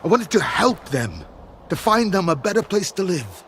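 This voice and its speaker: Brooding fanatical faction leader, gravelly, wildly swooping pitch, theatrical pauses, video game drama. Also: male voice, sing-song, fairly bright tone, a little hiss or hum, exaggerated voice. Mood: wistful, reminiscing.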